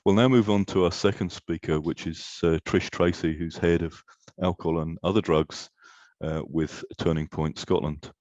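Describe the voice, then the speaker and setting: A man's voice: sits low, steady tone, moderate pace, darkish tone, no echo, lo-fi sound. A man moderating a webinar, introducing speakers hesitantly, with fillers between phrases.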